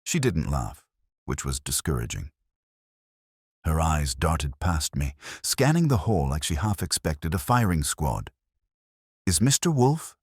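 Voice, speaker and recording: A man tells a detective story in an extremely deep voice that moves widely up and down. The recording is close and dry. His delivery is scripted, dramatic and wry. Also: expressive, measured pace, breathy edge, dry recording. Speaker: narrator and host of a mystery story channel.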